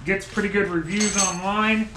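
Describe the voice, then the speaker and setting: A man's voice: high voice, fairly even intonation, normal speed. A middle-aged man chatting casually as a vlogger, plain-spoken and laid-back. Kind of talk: casual product review chat. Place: cluttered home garage. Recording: roomy garage echo, background noise.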